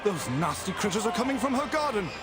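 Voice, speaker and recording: A woman's voice: mid-range voice, sing-song, fast talker, music in the background, crowd noise, close to an everyday voice. A woman voicing a panicky male character in an alarmed, urgent voice. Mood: panicked.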